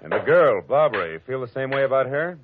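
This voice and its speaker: An actor playing a wry, tough private detective with dry wisecracks, in 1940s radio acting on a dull lo-fi old radio recording. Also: male voice, mid-range voice, sing-song, fast, a crowd in the background, close to an everyday voice.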